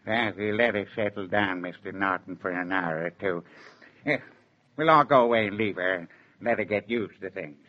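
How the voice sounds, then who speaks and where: An actor playing a plainspoken, unsentimental mature farmer with a rural American accent, drawling drily in a 1940s radio drama. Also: male voice, fairly low pitch, moderate pace, natural voice. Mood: gruffly dismissive. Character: laconic, unsentimental rural farmer, a mature man selling his cow. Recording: dull vintage 1940s radio recording.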